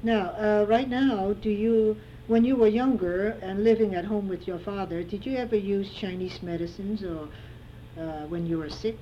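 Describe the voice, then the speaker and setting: Mellow-voiced woman interviewer, lo-fi tape. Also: medium pitch, fairly even intonation, moderate pace. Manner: gentle prompting questions with fillers. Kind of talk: oral history interview. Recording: dull lo-fi tape with faint hiss.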